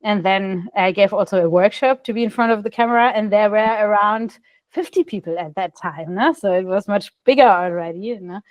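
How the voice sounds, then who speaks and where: Animated woman on a dry video call. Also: medium pitch, brisk, no room sound. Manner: animated, gestures a lot, frequent restarts and "no?" tags. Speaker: podcast guest. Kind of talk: casual interview storytelling. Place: bright room indoors.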